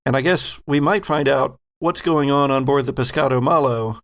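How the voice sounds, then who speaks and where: A man's voice: mid-range voice, normal speed, heavy background noise. A man working as game master, narrating a tabletop game off the cuff and searching for his words.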